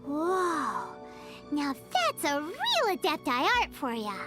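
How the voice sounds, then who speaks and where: A tiny chirpy game fairy, with an extremely high, squeaky childlike voice. She is cheeky and exclamatory, swooping wildly up and down in pitch. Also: wildly swooping pitch, takes it slowly, over loud music, full character voice. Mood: amazed. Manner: exclamatory, refers to self in third person. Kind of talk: video game voice acting.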